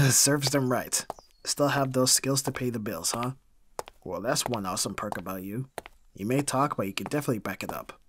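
A man's voice: mid-range voice, normal speed, noisy background, close to an everyday voice. A man playing a caring but easily exasperated young roommate in an ASMR roleplay audio drama, casual and dryly bantering. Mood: easygoing.

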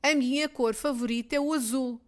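A woman teacher with a European Portuguese accent, reading simple phrases twice each, clearly and deliberately, for learners. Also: sits high, fairly even intonation. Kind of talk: slow repeated phrase drills for learners.

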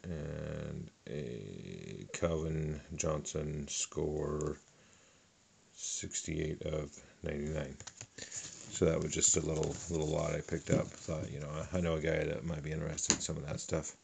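A middle-aged man calmly listing sports cards one by one at a table, with a lot of fillers. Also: a bass voice, a little slow, creaky, slightly rough. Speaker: card collector. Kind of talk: casual card unboxing narration. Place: indoors at a table.

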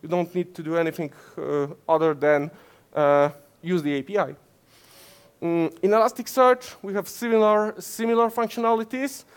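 A man's voice: high-pitched, fairly even intonation, normal speed, smooth. Polish man giving a technical talk. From Poland. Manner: many fillers and self-corrections, gesturing while explaining. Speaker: conference speaker. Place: conference stage in front of slides, indoors.

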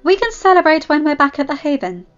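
A woman's voice: sits high, very animated, quick pace, soft background music, pitched above the performer's own voice. Young female gang boss for a storybook woman acting it out, tough, firm, slightly muffled recording. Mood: brisk, commanding. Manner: firm, commanding.

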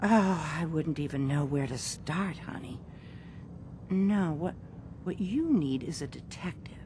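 A woman playing a warm, world-weary, folksy doctor in a video game, soothing and motherly. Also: lively intonation. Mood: kindly advising. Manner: soothing, unhurried endearments. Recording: noisy rumbling background.

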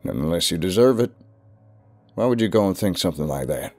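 A man's voice: sits low, expressive, fairly quick, darkish tone, faint music behind. A man with a Southern US accent voicing a stern, ominous older recluse.

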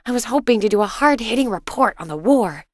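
A woman's voice: a little high, animated, a little fast, no echo, studio quality. An actress voicing a caring, exasperated wife and mother in a video game, speaking expressively and earnestly. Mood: curious, lively.

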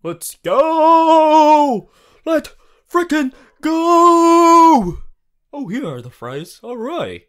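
Guy doing a goofy young superhero student, boomy and theatrical, slow drawn out words, big pitch swings, roleplay audio. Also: very animated, a bit bright, exaggerated voice. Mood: exuberant, pompous. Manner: booming theatrical boasts, drawn-out exclamations, hesitant stammered asides. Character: young cheerful aspiring superhero student, boisterous, earnest and goofy. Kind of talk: ASMR audio roleplay addressed to listener.

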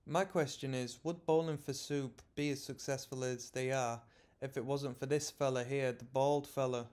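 A young man in his twenties hosting a music reaction, talking in a relaxed, rambling, slightly sleepy way from a small room. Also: medium pitch, normal speed, clear, resonant tone. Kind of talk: casual music reaction commentary. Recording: song playing faintly behind.

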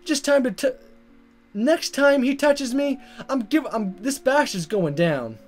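A man acting a high-strung, indignant teenage schoolboy. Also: expressive, a little slow, soft background music. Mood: seething anger. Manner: strained, sputtering outbursts.

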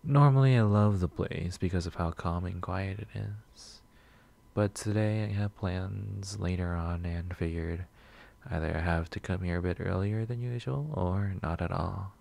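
A man playing a shy, sweet, nervous coffee shop regular in a soft-spoken ASMR roleplay, gentle, hesitant and rambling. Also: low-pitched, unhurried, gravelly. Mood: relaxed, chatty. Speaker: roleplay audio performer.

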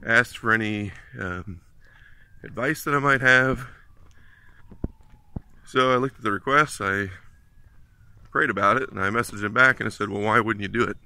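A man's voice: on the low side, normal speed, long pauses, bright, forceful tone. A man, telling stories in a casual, earnest way as he walks and films himself outdoors on a suburban street. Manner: conversational, earnest, storytelling. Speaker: ministry vlogger. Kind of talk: casual walking vlog. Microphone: phone at arm's length while walking.

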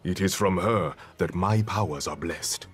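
An actor playing a noble superhero king who narrates his quest in a grave, formal, measured way for a video game. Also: low-pitched. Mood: solemn, proud. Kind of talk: scripted dramatic game narration. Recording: music behind the voice.

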